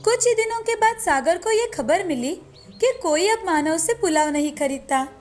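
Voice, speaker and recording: A woman narrating a moral cartoon story in a steady, scripted storytelling manner. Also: very high voice, normal speed.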